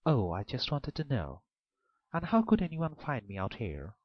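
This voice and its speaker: A man's voice: medium pitch, big pitch swings, normal speed, no room sound, dull audio, voice pushed up. A man voicing a young girl who answers an ogre with pleading innocence, in a raised voice. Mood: innocent. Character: young girl, timid and clever.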